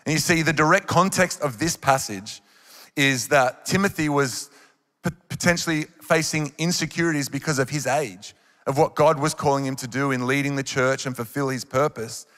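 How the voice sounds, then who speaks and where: Australian man, 30s, earnest encouraging sermon, handheld mic, mid-pitched voice, live hall reverb. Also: moderate pace, bright, forceful tone. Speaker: worship leader preaching. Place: large church auditorium on stage. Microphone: handheld microphone.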